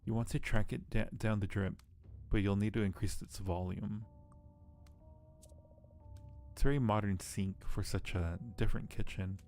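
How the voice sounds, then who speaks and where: A man's voice: lowish voice, fairly even intonation, moderate pace, long pauses, darkish tone. A man hosting a casual game livestream, reading story text aloud and chatting between murmured asides. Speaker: livestream host. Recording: music behind the voice. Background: music.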